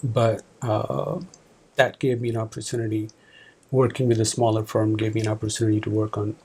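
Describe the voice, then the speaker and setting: Man, 40s, from Pakistan, interview guest, halting speech, husky, gravelly. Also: lowish voice, level tone, a little mellow. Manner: halting, many fillers and restarts. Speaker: guest, department manager. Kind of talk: casual interview answers. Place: office.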